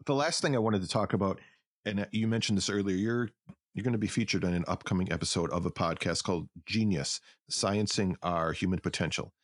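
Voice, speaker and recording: A man's voice: sits low, a little fast, no echo, clean studio sound. A man hosting a casual interview, speaking in a conversational way. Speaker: interview host.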